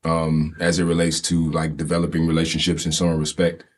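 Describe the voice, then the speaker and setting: A man's voice: a bass voice, completely flat delivery, fairly quick. A man asking a question from the group. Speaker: participant.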